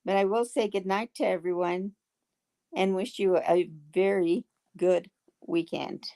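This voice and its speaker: A woman chats with her viewers in a slow, unhurried way. Her voice has a gravelly, creaky edge, and it is turning hoarse. Her manner is folksy and friendly. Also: lowish voice, steady tone, warm tone. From Texas. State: getting hoarse. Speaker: livestream host. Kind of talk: casual chat reading viewer comments.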